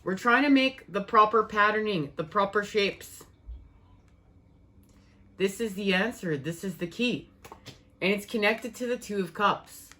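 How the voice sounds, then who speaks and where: A woman giving a spiritual tarot reading, her voice worn and fading, speaking in slow, soothing, repetitive phrases. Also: mid-range voice, bright, forceful tone. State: losing her voice. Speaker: tarot reader.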